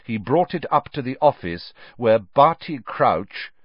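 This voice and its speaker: Snape: a man doing a cold low-voiced professor. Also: very animated, a little slow, slightly rough, no room sound. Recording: dull, dry lo-fi audio.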